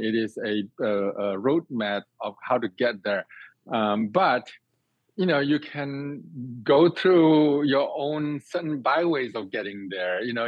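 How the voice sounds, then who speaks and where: A man's voice: mid-range voice, no echo. A man from Singapore answering interview questions conversationally, earnest and expansive, often repeating and reworking his phrases as he thinks. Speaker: guest, cook and cooking teacher. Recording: dry, slightly dull band-limited sound.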